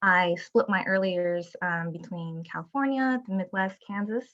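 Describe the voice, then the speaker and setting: A middle-aged woman from Taiwan, a company founder giving an earnest, measured presentation with frequent ums over a video call. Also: medium pitch, fairly bright tone, dull audio. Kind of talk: prepared presentation.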